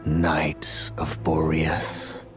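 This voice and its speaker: Sinister game storyteller, deep and slow, formal n mocking, music behind. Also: male voice, completely flat delivery, heavily acted. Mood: cool mockery. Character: ominous male storyteller, coolly sardonic and theatrical. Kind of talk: dramatic video game voice acting. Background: background music.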